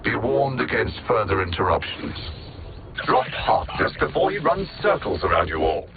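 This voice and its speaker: Arrogant alien supercomputer villain, sweeping theatrical intonation, creaky edge, sardonic, haughty, synthetic game-villain filter. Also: male voice, fairly low pitch, very animated, normal speed, noisy background. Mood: irritated, imperious. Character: cold, arrogant alien machine-intellect supervillain bent on conquest. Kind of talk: video game villain dialogue. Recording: narrow band-limited radio-like sound, music behind. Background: game music.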